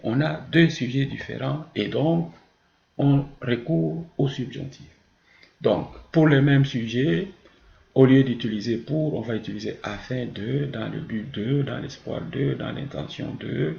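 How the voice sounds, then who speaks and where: Gravelly male French teacher in an echoey room. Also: medium pitch, unhurried, a little mellow. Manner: didactic, asks and answers his own questions. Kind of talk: grammar lesson with spoken examples. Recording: muffled, roomy, echoing sound.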